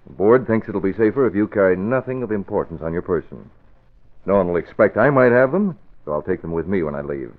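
A calm, authoritative man explains things in a measured, businesslike way. His voice sits low, and the old radio drama recording is thin and boxed-in. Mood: reassuring, firm. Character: calm, authoritative male organizer of a secret plan. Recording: narrow vintage radio recording.

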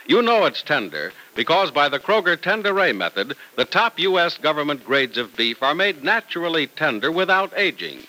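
A man announcing in a polished, emphatic 1950s radio style, as narrator and pitchman. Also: big pitch swings, moderate pace, hardly any pauses, bright, forceful tone, low-quality recording.

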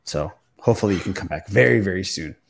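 A man's voice: low-pitched, animated, fast talker, gravelly. A man hosting a pop culture podcast, chatting informally and swearing now and then. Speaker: podcast host.

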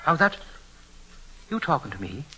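An actor playing a cocky, flattering young charmer with sly menace, folksy and mock-humble, in old-time radio drama style. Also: male voice, fairly high pitch, normal speed. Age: young adult. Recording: vintage radio recording, clear background noise.

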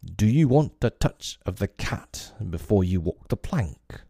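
Male narrator as villainous pirate captain, theatrical, drawn-out, silky menace, widely swinging pitch, dry recording. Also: sing-song, vocal fry, mellow, slightly rough, dry recording, heavily acted. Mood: taunting sing-song.

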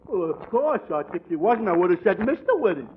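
Dopey good-natured fellow, high swooping voice, hesitant, 1940s radio comedy, muffled noisy recording. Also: male voice, very animated. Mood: goofy. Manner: slow-witted, goofy, hesitant. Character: dim-witted, good-natured male tavern regular.

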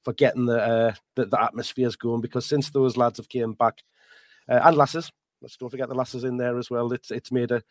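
A man with a hoarse, croaky voice, talking in a halting, earnest way as a podcast co-host. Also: mid-range voice, little pitch movement, no room sound. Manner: halting, many restarts, earnest. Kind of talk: casual chat.

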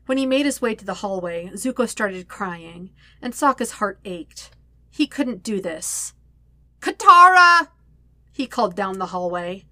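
A woman reading fiction aloud as an expressive narrator of a scripted story. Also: mid-range voice, unhurried, dry recording. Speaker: podfic reader.

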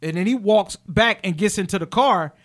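A man's voice: high voice, moderate pace. A young man hosting a casual chat, telling stories and acting out the people in them with different voices. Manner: animated, acts out quotes in different voices. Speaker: podcast host.

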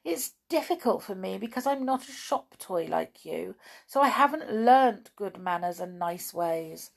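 A woman voicing a naughty, spiteful female toy doll in a storybook, speaking shrill and cross. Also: animated, takes it slowly, fairly bright tone, heavily acted. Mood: contrite.

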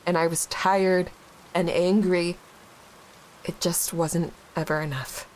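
A woman acting a grieving, guilt-ridden young widowed mother in an audio drama, halting and emotionally raw. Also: lowish voice, fairly even intonation, poor audio. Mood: bitter, angry. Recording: clear background hiss.

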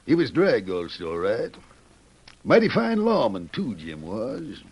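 A man's voice: fairly low pitch, wildly swooping pitch, slow pace, a little mellow, slightly rough, heavily acted. A man playing a grizzled, folksy Old West sheriff with an in a radio drama. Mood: folksy, wary. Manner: folksy, plain-spoken. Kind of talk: old-time radio Western acting.

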